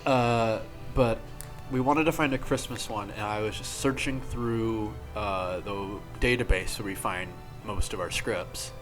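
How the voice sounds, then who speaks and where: A man co-hosting a podcast in casual group commentary, talking haltingly and peppering his sentences with "like". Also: lowish voice. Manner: halting, heavy use of "like". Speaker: podcast co-host. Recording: music playing behind. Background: music.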